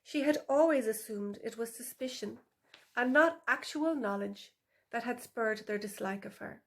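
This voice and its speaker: Higher-voiced woman narrating a storybook. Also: normal speed, a bit bright. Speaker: author reading her own book. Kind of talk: scripted storybook narration for older children.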